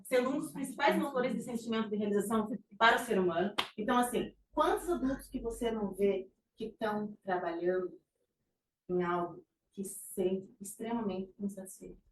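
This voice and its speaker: A woman's voice: medium pitch, fairly quick, far from the mic. Woman, Brazilian accent, informal lecturer, echoey room, faint noise. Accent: Brazilian Portuguese. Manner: informal, with frequent fillers and restarts. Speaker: psychologist presenting a talk. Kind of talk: conversational lecture presentation.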